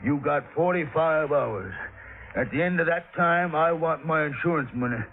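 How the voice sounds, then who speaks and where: An actor playing an irascible, bullying middle-aged businessman from the American South in a 1950s radio drama, gruff, sputtering and repeating his words. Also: on the high side, normal speed. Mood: threatening. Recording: old radio recording, narrow phone-like band.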